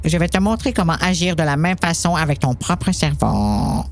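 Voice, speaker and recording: A woman playing a calm, patient doctor in an amateur audio drama, speaking in a measured, reassuring way. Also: low-pitched, slight background noise. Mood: patient, encouraging. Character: calm, patient doctor coaching a troubled girl.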